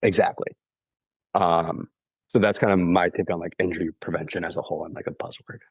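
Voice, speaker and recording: A man's voice: low voice, steady tone, fairly quick, slightly rough, dry recording. A man recovering from the flu, sounding congested, explaining running injuries patiently on a podcast and often checking in with "okay?". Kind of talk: casual explanatory podcast talk.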